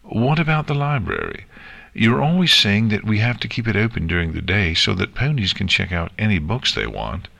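A man's voice: on the low side, very animated, moderate pace, gravelly, sharp tone, no room sound, full character voice. A man voicing an easygoing, cheeky young male sidekick in a storybook reading. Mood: playful.

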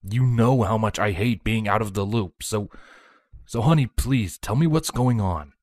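A man voicing a devoted pony soldier husband in an audio story, earnest and expressive. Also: lowish voice, normal speed, dry recording, studio quality, lightly acted. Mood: questioning, frustrated. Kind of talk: audio story character reading.

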